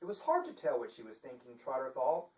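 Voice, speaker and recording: A man giving a theatrical, deliberate dramatic reading as the author of a literary novel. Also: medium pitch, wildly swooping pitch, a little mellow. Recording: echoey room, distant microphone.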